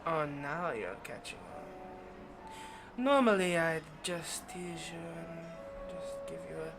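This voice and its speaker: A performer playing a smug, possessive vampire in an ASMR roleplay, teasing and condescending with quiet menace. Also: mid-range voice, unhurried, soft background music. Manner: teasing, condescending, drops to whispers.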